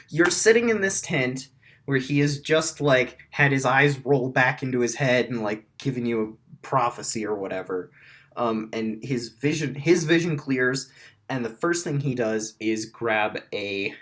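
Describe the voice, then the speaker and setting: A raspy-voiced man running a tabletop game, rambling through narration with many fillers. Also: medium pitch, a little slow, a bit bright. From Wyoming. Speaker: game master.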